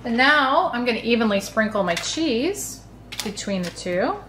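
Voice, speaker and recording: A woman's voice: on the high side, very animated, unhurried, fairly bright tone, reverberant, faint music behind. A woman hosting a home cooking channel, talking casually and chattily as she walks through a recipe step by step. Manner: chatty, friendly, easygoing explanations. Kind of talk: casual recipe walkthrough voice-over.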